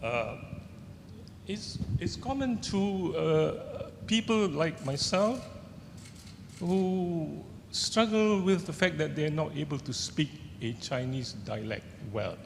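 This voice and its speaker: An older man with a Singaporean accent, an author telling personal anecdotes to an audience in a halting way. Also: animated, creaky. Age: older, seventies or above. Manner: halting, searching for words. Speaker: author on a festival panel. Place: large indoor event hall with seated audience. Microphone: handheld microphone. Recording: echoey hall, rumbling background noise.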